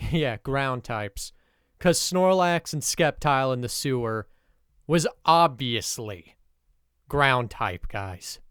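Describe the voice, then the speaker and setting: A young man hosting a let's play with casual commentary, his voice a little off from allergies, who swears and rants in sudden excited bursts. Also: medium pitch, clear, resonant tone, no echo, clean studio sound. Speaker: gaming video host.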